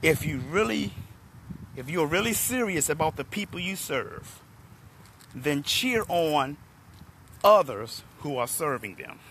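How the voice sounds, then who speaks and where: A middle-aged man from Washington, D.C., a motivational speaker, talking hoarsely and chattily to viewers on a phone held at arm's length outdoors. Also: fairly high pitch, takes it slowly, long pauses, a little hiss or hum. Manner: relaxed, chatty, addresses viewers by name. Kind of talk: live-streamed talk to viewers. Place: outdoors in a parking lot under trees.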